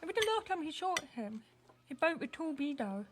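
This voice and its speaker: A high-pitched teenage girl, deaf and defiant. She talks bluntly and defensively, as in a naturalistic radio play. There is faint noise behind her. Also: sing-song, fairly quick. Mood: earnest. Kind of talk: naturalistic radio drama acting.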